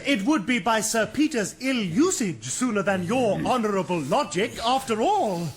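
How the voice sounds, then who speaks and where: A man's voice: high-pitched, big pitch swings, moderate pace, heavy background noise, pitched below the performer's own voice. A man playing a soldier in skirts who hams up a flustered eighteenth-century lady in stage comedy, affected and melodramatic. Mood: coy. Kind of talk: mock stage comedy within a radio drama.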